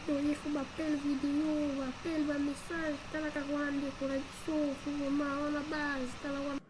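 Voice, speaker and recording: Woman acting a talkative cartoon lady, high voice, Congolese accent, flat intonation, clear background noise. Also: moderate pace, lightly acted. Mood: insistent. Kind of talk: animated cartoon dialogue in Lingala and French.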